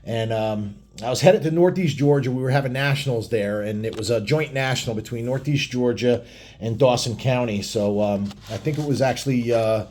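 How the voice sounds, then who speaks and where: A man's voice: medium pitch, normal speed, a little mellow. A middle-aged man telling a rambling personal story casually, with blunt, crude and heavily profane talk. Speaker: biker vlogger telling stories.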